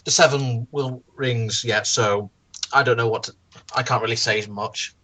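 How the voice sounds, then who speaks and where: A speaker in casual group commentary who talks haltingly, stammering and repeating words. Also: mid-range voice, steady tone, fairly bright tone, a little hiss or hum, poor audio.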